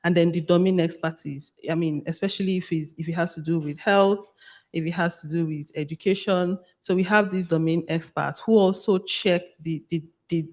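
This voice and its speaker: A panelist with a Nigerian accent speaks at a medium pitch. The delivery is explanatory and emphatic, with key phrases repeated for stress. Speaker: panelist on AI language models.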